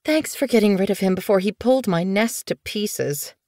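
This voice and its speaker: A woman voicing a weak but wry female cat in labour, whimpering and croaky, in an audiobook. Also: medium pitch, moderate pace, darkish tone, no room sound, clean studio sound, voice pushed up, natural voice.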